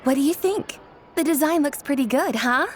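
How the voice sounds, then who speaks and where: A woman's voice: sits high, expressive, normal speed, heavy background noise. A woman voicing a cool, composed female guardian in a video game, formal and matter-of-fact. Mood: casual, approving. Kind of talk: video game character dialogue.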